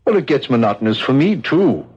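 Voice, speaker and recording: An actor playing a sheepish jailer with a little pity, offhand and unsure, in an old radio drama. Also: male voice, mid-range voice, sing-song, a little fast, darkish tone, husky. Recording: dull old radio recording with music.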